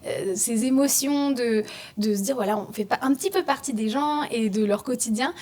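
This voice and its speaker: A woman voice actress chatting in a relaxed interview, excitable and animated, quick to laugh. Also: a little high. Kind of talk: casual interview chat. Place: at a voice-acting festival.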